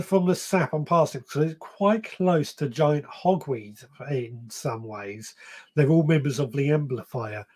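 A man's voice: medium pitch, darkish tone, breathy edge. A man in his thirties hosting a gardening podcast live stream, chatting casually and reading out viewer comments, often stopping to correct himself. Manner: rambling, self-correcting, reads comments aloud. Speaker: podcast host. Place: small shed or room, indoors.

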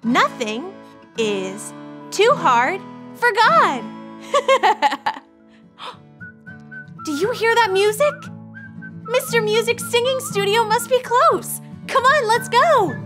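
A young woman hosting a children's church program on a studio set, bubbly and animated as she talks to kids. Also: very high voice, slow, long pauses, music in the background.

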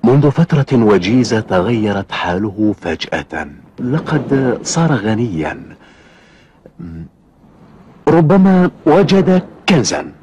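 A man voicing a gossipy, suspicious middle-aged fisherman in a cartoon, with deliberate, dramatic delivery. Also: mid-range voice, very animated, darkish tone, dull audio, a little hiss or hum. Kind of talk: Arabic cartoon dubbing.

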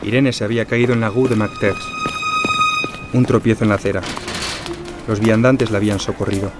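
A man's voice: lowish voice, fairly even intonation, fairly quick, over loud music, lightly acted. A man reading scripted literary narration for a crime drama. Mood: solemn. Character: solemn crime-story narrator.

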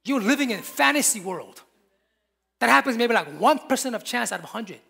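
A man's voice: very high-pitched, lively intonation, brisk, vocal fry, sharp tone, husky. A man, preaching a sermon from a church pulpit with emphatic, repeated phrases. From Korea. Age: 50s. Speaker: pastor.

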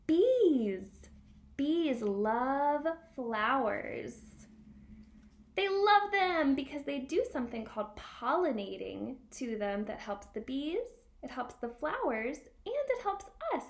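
Young female read-aloud teacher for little kids: high, unhurried, animated, sing-song delivery. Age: young adult. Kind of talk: picture-book read-aloud for young children with sign lessons. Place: small room at home by a window.

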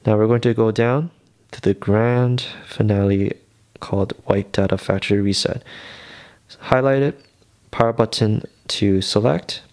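Low-voiced man giving a phone tutorial. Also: a little mellow. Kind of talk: casual step-by-step tech tutorial.

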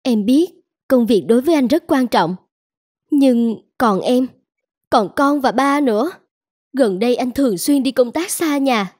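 A high-voiced, reproachful young wife. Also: female voice, animated, measured pace, a little mellow, no echo, studio quality. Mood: hurt, reproachful. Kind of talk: cartoon dubbing.

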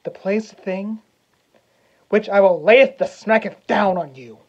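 A man playing a vengeful young prince as a cocky wrestler cutting a theatrical promo, swaggering and taunting with dramatic pauses. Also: sits high, lo-fi sound. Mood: menacing. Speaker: actor.

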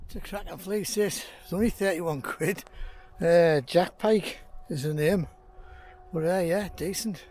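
A man's voice: high voice, measured pace, darkish tone. A man with a Teesside accent chatting casually and colloquially as he vlogs outdoors. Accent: Teesside English. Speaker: angler vlogger. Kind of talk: casual vlog chat. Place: outdoors on a riverbank at night. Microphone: camera or phone mic.

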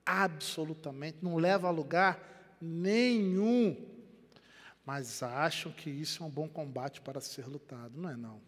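An older man from Rio de Janeiro preaching a sermon in Brazilian Portuguese on a headset mic in a church, animated, dropping to quiet and rising again. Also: a little high, a little slow. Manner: animated preaching with wide gestures, swings between quiet and loud. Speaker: pastor. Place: church sanctuary. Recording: long church hall reverb.

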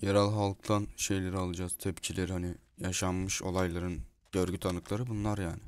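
A man giving excitable, slangy, foul-mouthed gameplay commentary as he chats in a roleplay game. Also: sits low, fairly quick. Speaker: video host playing a roleplay game. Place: indoors at a computer, voice over gameplay. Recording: clear background noise, keyboard clicking.

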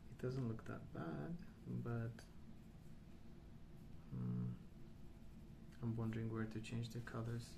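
Soft-spoken man rambling, with creaky voice. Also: medium pitch. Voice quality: soft, breathy, often near a whisper. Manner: slow, hesitant, calm, pausing between short phrases. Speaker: ASMR host. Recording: clear background noise.

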